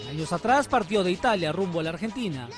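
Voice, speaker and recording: A man's voice: high-pitched, lively intonation, fast talker, music in the background. A man narrating a scripted television feature.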